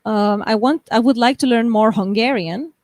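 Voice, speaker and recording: A woman's voice: fairly high pitch, moderate pace, no echo, clean studio sound. A young woman from the United States hosting a live stream, chatting casually and reading viewer comments aloud, often laughing and restarting her sentences.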